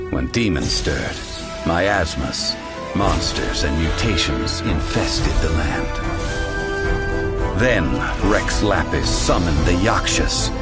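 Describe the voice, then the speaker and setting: A low, deep male voice reads an ancient legend in a slow, unhurried, solemn way. His delivery is grave and measured, like a story read in a game cutscene. Loud orchestral game music swells beneath every line. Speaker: narrator. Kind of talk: solemn scripted narration.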